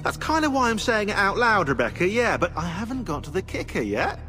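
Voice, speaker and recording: An actor playing a sarcastic, excitable know-it-all historian in a video game, eagerly explaining. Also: male voice, sits high, fairly quick, soft background music, heavily acted. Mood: excited, smug. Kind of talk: video game dialogue acting.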